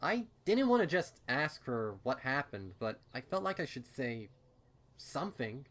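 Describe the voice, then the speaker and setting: A man giving an expressive first-person reading of a fan fiction story as narrator. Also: mid-range voice, measured pace. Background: music under the voice.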